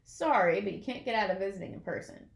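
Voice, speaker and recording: A woman reading a children's picture book aloud in an expressive way, as if to young listeners. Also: medium pitch, fast, gravelly, roomy sound. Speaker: storybook reader.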